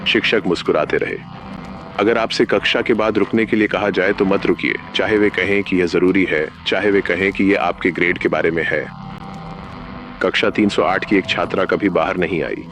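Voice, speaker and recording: A man narrating a scripted analog horror safety bulletin in a formal, measured announcer manner. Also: deep voice, steady tone, fairly bright tone, a little hiss or hum.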